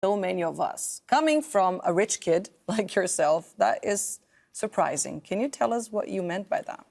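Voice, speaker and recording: A woman hosting an interview show, asking questions in a measured, halting way. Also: mid-range voice, expressive, vocal fry, a little mellow. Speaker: interview host.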